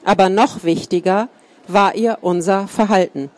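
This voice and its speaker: A woman reading a first-person account aloud in a calm, measured way. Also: medium pitch. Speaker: reader.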